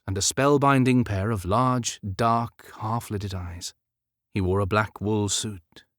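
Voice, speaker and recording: Low-voiced man narrating a novel. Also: a bit bright, dry recording, studio quality. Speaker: audiobook narrator. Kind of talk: scripted narration of historical romance fiction.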